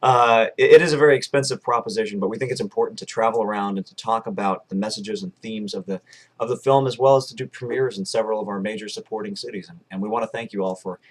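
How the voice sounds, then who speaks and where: A man's voice: fairly low pitch, quick pace. A man in his twenties chattily hosting a casual interview and promotional video blog, wordy and polite. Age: 20s. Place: furnished room at home, indoors.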